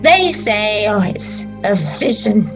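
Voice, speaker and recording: A woman acting a grieving yet resolute disciple in a dramatised ancient religious text, speaking in a hushed, deliberate way with long pauses. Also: mid-range voice, sing-song, music in the background. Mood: quiet resolve.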